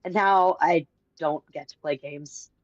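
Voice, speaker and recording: Hoarse low-voiced woman guest on a stream, joking dryly, dull muffled mic. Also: a little slow. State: hoarse, scratchy voice. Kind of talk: casual livestream chat.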